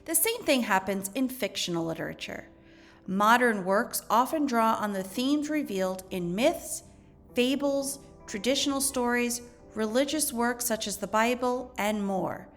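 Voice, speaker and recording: Higher-pitched woman reading a lesson aloud. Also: animated, unhurried, faint music behind. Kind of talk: educational read-aloud narration.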